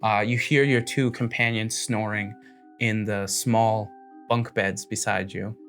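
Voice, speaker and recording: A man's voice: lowish voice, steady tone, a little slow, a bit bright, soft background music. A man working as game master, narrating a tabletop fantasy game in vivid, descriptive second-person storytelling.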